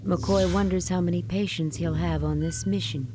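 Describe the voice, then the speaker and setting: Low-voiced female game narrator. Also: steady tone, moderate pace. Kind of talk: scripted narration of game scenes. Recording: music under the voice.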